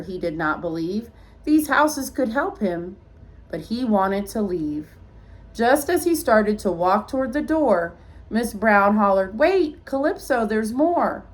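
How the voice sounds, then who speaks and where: A woman reading a rhyming picture book aloud like a teacher, expressively, with small asides to young listeners. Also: a little high. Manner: expressive storytelling, addressing children.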